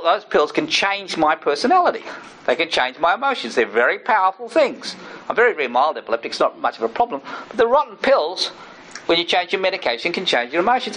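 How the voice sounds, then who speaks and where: Guy preaching casual-like, kinda high voice, keeps saying right? to the listeners. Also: a bit bright, a little hiss or hum, rough recording. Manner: conversational, checks in with listeners; personal asides and self-disclosure. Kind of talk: informal sermon teaching.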